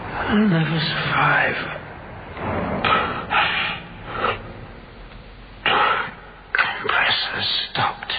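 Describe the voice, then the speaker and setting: Slow, halting man freezing to death. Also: medium pitch. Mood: weakening, desperate. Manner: halting, brooding monologue broken by desperate outbursts. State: freezing, weakening, exhausted. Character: trapped freezing businessman, paranoid and despairing, talking to himself. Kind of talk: radio thriller acting. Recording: muffled lo-fi audio, clearly noisy.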